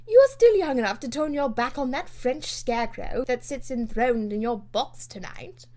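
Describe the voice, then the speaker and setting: Woman playing a loud, blunt, high-pitched English noblewoman. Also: expressive, normal speed. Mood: indignant. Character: bluff, coarse-mannered, good-hearted English noblewoman.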